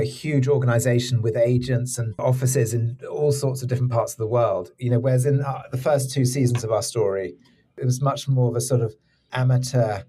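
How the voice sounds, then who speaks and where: A man's voice: mid-range voice, warm tone. A man speaking as a drama director being interviewed, explaining things conversationally with loose, informal phrasing. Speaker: audio drama director and executive producer, interview guest.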